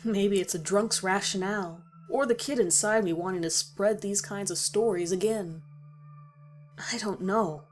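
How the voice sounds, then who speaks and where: A woman's voice: medium pitch, measured pace. A woman reading a first-person horror story as a dramatic scripted narration. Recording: music behind the voice. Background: music.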